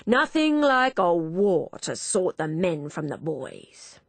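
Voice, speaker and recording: A woman's voice: lowish voice, sing-song, vocal fry, dry recording, exaggerated voice. A woman doing an old blustering lord. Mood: blustery. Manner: slow, proclaiming bluster.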